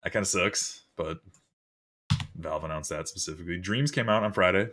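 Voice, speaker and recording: A deep-voiced young man co-hosting a casual chat about video game news, laid-back and swearing often. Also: normal speed, bright, forceful tone, a little hiss or hum. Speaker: podcast co-host.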